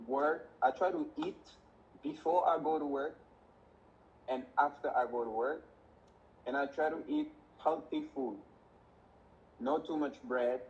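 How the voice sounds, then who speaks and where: Male interviewee, unhurried and plain-spoken, slow delivery, muffled lo-fi sound with faint noise. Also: medium pitch, darkish tone. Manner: simple step-by-step sentences. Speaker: interviewee, kitchen worker.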